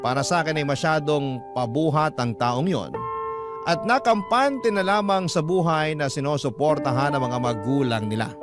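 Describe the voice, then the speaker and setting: A man with a Filipino accent and a fairly high voice narrates a radio drama. His storytelling is dramatic and personal, laid over a loud music bed.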